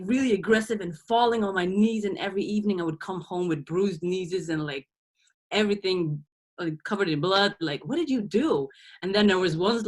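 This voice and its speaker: A woman in her thirties from Belgium, a podcast guest talking through her past in a reflective way, with frequent laughs and restarts. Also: on the low side. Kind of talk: casual interview.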